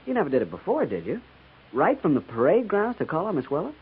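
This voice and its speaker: A man's voice: fairly high pitch, huge pitch swings, fast, breathy edge, heavily acted. An American man acting an earnest, nosy, flustered junior cavalry lieutenant in a 1950s radio drama, hesitant and stammering. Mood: flustered, incredulous. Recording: dull 1950s radio recording.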